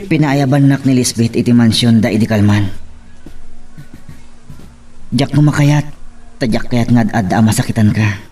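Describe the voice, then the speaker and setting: A man's voice: medium pitch, moderate pace, long pauses, darkish tone, far from the mic, soft background music, natural voice. An earnest young Ilocano lover, played by a man in an audio drama. He has a mid-range voice and an apologetic manner. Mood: pleading. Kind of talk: amateur audio drama acting.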